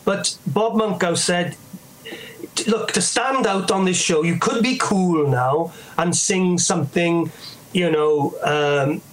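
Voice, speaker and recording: A man's voice: high-pitched, slow, low-quality recording. A middle-aged Welsh man chatting as an interview guest, telling anecdotes and acting out what others said. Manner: chatty storyteller, acts out quoted voices. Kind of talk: casual anecdotal chat.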